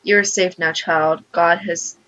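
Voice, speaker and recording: Woman reading as a gentle old priest. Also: on the low side, fairly even intonation, unhurried, poor audio. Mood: gentle, concerned. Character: aging, kindly male priest, concerned and paternal.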